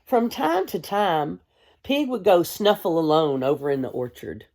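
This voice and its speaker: A woman, a kindergarten teacher, reading a storybook aloud to young children in a gentle, expressive way. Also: lowish voice. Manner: gentle, hushed, expressive storytelling with deliberate pauses; addresses young listeners with simple questions.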